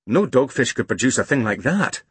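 A man voicing a practical, inquisitive mother in a children's storybook, brisk and wondering. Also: mid-range voice, very animated, husky, dry recording. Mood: curious.

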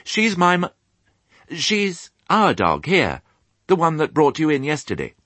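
A man's voice: on the high side, huge pitch swings, unhurried, fairly bright tone, no echo, pitched above the performer's own voice, exaggerated voice. A man voicing a polite, earnest and naive young boy piglet in a storybook. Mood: puzzled, earnest. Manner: earnest, well-mannered, naive.

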